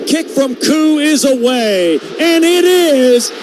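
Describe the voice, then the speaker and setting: High-pitched American football play-by-play man. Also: sing-song, takes it slowly. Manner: punchy short present-tense calls. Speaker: play-by-play announcer. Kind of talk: live American football radio commentary. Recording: loud stadium noise behind the voice. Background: stadium ambience.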